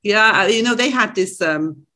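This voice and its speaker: A woman's voice: mid-range voice, lively intonation, normal speed, sharp tone. Chatty woman guest. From Switzerland. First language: German. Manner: chatty, restarts phrases, many fillers. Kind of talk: casual conversation.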